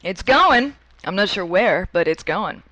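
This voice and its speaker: A woman's voice: mid-range voice, expressive, a little slow, a bit bright, a little hiss or hum, rough recording. A woman in her late thirties from New Hampshire, a guest actress chatting on a podcast with wry, self-mocking humor. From New Hampshire, USA. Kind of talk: casual podcast chat.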